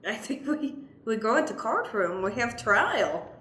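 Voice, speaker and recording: A woman playing an earnest, halting female defense lawyer with formal phrasing in an improvised radio play. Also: on the high side, very animated, a bit bright. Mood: encouraging. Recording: roomy echo, faint background noise.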